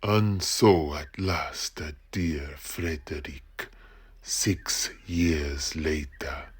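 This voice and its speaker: A man playing an explorer in an audio drama, grimly recounting a battle in a husky, gravelly voice with deliberate, drawn-out phrasing. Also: rumbling low, fairly even intonation, exaggerated voice. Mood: grave, dramatic. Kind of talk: dramatic audio play narration.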